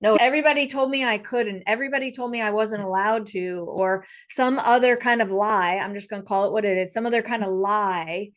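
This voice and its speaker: Woman podcast host, normal mid pitch voice, chatty and emphatic, encouraging, working her way into a question. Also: steady tone, fairly quick, hardly any pauses, fairly bright tone. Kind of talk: conversational interviewing.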